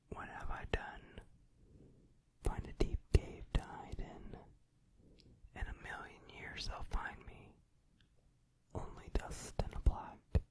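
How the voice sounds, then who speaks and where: A performer whispering the part of a skeleton man who laments and reproaches himself. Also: natural voice. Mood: despairing. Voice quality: whispered, unvoiced. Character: despairing skeleton man, remorseful king. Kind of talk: whispered song cover, ASMR.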